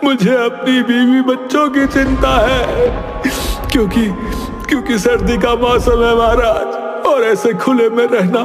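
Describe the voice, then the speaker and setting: Wailing, high-pitched poor villager. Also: male voice, steady tone. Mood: wailing, pleading. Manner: wailing, sobbing, begging in drawn-out phrases. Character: distraught poor male villager pleading for his family. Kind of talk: melodramatic animated story acting. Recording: echoey hall reverb, faint music behind.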